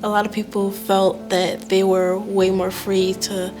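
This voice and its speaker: Even-toned young woman. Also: medium pitch, a little slow. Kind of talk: casual discussion. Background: music.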